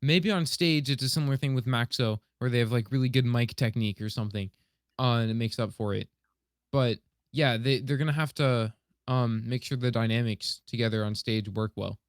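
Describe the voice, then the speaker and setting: A man on a podcast panel, giving opinions in an informal, measured, softened way. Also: mid-range voice, dry recording, studio quality. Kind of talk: casual chat.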